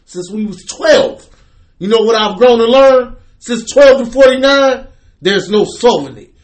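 A man's voice: extremely high pitch, animated, a little slow. A man in his late forties from the US Midwest, co-hosting a podcast and telling blunt, preachy stories with plenty of profanity. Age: 40s. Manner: forceful, preachy storytelling, profane. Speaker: podcast co-host. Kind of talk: casual debate and storytelling.

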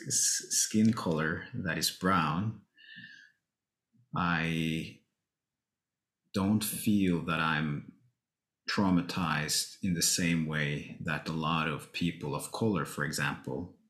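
A man from Norway speaking in a measured, thoughtful way with some hesitations, hosting a reflective conversation. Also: low-pitched, steady tone, a bit bright, roomy sound.

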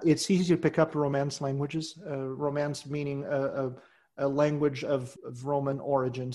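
Earnest man. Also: mid-range voice. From Portugal. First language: Portuguese. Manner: earnest and measured, voice trailing off softly at phrase ends. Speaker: guest. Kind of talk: reflective interview talk.

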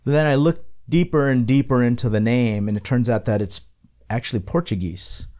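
A man's voice: mid-range voice, brisk, a little mellow. A man born in the Philippines hosting a podcast, chatty and affirming, thinking aloud with frequent repeats. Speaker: podcast host. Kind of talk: casual interview chat.

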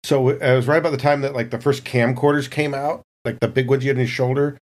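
A man with an average-pitched voice chats casually on a podcast. He tells stories informally, as if among friends. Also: fairly even intonation, fast talker. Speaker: podcast cast member. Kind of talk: casual chat, anecdotes.

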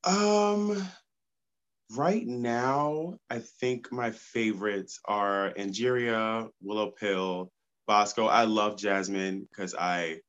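A man's voice: on the low side, slow, a bit bright, lo-fi sound. A congested man, a podcast guest, talking enthusiastically and outspokenly. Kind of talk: casual podcast chat.

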